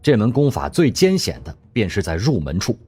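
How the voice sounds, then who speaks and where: A man narrating an audio drama story in an expressive, measured storyteller's style. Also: on the low side, husky. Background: background music.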